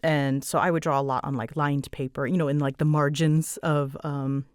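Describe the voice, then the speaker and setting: Low-voiced woman, candid halting chat. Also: fairly even intonation, clear, resonant tone, no echo, studio quality. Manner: halting, self-interrupting, candid. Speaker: channel creator answering audience questions. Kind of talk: casual rambling Q&A chat.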